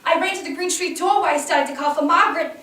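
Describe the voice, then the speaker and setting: A woman plays a young immigrant factory girl in a stage monologue about surviving a fire. Her high voice is intense, frightened and halting. It sounds distant in an echoey room. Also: pushed and bright, a little hiss or hum. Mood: panicked, desperate. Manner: intense, dramatic, halting storytelling.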